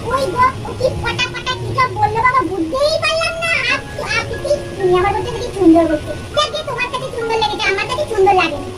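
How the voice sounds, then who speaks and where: A woman's voice: very high voice, lively intonation, fast, hardly any pauses, very noisy. A woman voicing a cheeky, bickering child doll in a puppet story, with a sing-song, petulant way of talking. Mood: petulant, argumentative. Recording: loud music under the voice.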